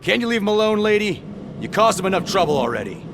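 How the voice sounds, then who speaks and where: Casting: police commander in a game, plain-spoken, businesslike, protective, faint street noise behind. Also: male voice, sits high, very animated, fast. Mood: annoyed. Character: businesslike, protective male police squad leader.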